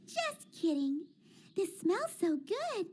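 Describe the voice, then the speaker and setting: A woman's voice: extremely high pitch, very animated, slow, a little mellow, a little hiss or hum, heavily acted. A woman voicing a small, teasing, smug girl mage in a fan manga dub, playful in manner. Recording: with background music.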